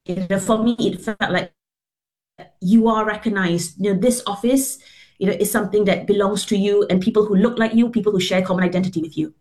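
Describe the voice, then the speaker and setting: Woman from Singapore, middle-aged, earnest storyteller, video call guest, mid-pitched. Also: fairly even intonation, fairly quick, darkish tone. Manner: earnest, storytelling, frequent restarts and fillers. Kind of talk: remote interview.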